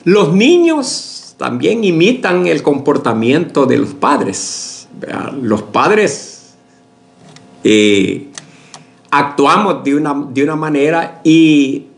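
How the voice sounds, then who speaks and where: Slow-preaching pastor, lively pitch. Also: male voice, creaky. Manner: slow, unhurried preaching with many fillers and restarts; addresses the congregation as brothers, asks for agreement. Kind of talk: sermon.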